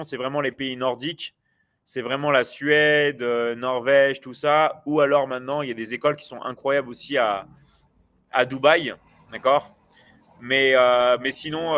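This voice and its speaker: A man's voice: mid-range voice, a bit bright. A man in his forties hosting a casual livestream, chatting loosely with viewers and rambling with many hesitations and restarts. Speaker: livestream host.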